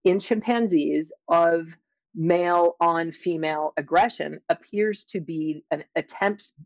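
A middle-aged woman speaking as an interview guest, explaining and telling her experiences in a thoughtful, halting way with drawn-out emphasis. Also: lowish voice, no room sound, lo-fi sound. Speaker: interview guest, scientist and author. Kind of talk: conversational interview, personal storytelling and explanation.

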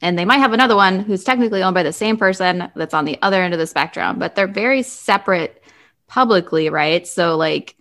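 A woman's voice: fairly low pitch, brisk, a bit bright. A woman hosting a podcast, chatting in an informal, conversational way. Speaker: podcast host. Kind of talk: casual discussion.

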